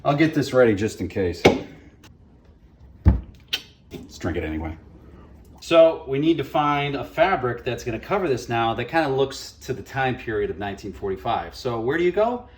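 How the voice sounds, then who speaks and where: A man's voice: mid-range voice, sing-song, brisk, slight background noise. A man hosting a hands-on project, talking viewers through each step in a casual, folksy, wry way. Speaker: radio morning show host presenting a DIY project. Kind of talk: casual hands-on tutorial narration. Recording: music bed behind the voice. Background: clear background music.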